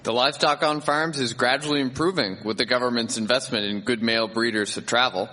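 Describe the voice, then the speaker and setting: A man's voice: mid-range voice, a little fast, pushed and bright, natural voice. A man playing a keen livestock farmer of the 1870s in a period radio drama, informative and formal. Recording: echoey hall reverb.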